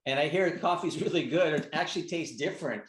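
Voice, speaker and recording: A man hosting a casual interview, asking questions in a halting way with restarts and "like" fillers. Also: on the high side, a bit bright. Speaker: interview host. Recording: roomy echo.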